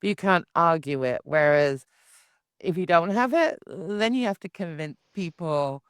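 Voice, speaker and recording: A woman's voice: on the low side, lively intonation, a little slow, clear, resonant tone, no room sound, studio quality. A woman hosting a podcast interview, chatty and thinking aloud. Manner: chatty, talks through ideas aloud. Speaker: podcast host. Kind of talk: casual interview chat.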